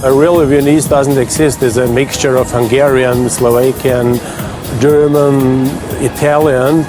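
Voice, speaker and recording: A man being interviewed on the street, speaking in a firm, deliberate way. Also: medium pitch, fairly even intonation, over music.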